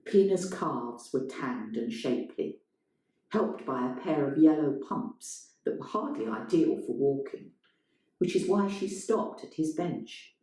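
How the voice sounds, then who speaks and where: A woman reading literary fiction aloud as a storyteller, in a measured, dramatic way. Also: deep voice, lively intonation, warm tone. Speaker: story reader. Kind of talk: scripted literary narration, performed reading. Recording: very echoey room.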